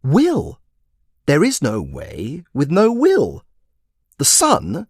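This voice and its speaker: Whimsical old fantasy wizard, high voice, scatterbrained and pompous, for audio storytelling. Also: male voice, huge pitch swings, husky, no room sound, studio quality, exaggerated voice. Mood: pompous explaining. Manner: whimsical, rambling, theatrical pauses. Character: scatterbrained, smug, shaggy old male wizard.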